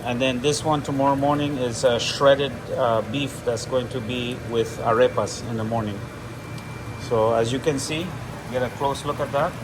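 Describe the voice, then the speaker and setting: A man's voice: mid-range voice, steady tone, normal speed, roomy sound, background noise. A man with roots in Trinidad and Venezuela, chatting casually to his viewers while cooking in a home kitchen. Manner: chatty, addresses viewers directly. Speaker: vlogger.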